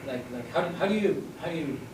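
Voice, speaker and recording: A man with a fairly high voice asks a rambling question, stopping often to search for words. He is picked up from a distance in a roomy space with clear background noise. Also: lively intonation, quick pace. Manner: hesitant, searching for words, laughs softly. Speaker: audience member asking a question. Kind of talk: question from the audience. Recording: roomy audio from away from the mic, with noise.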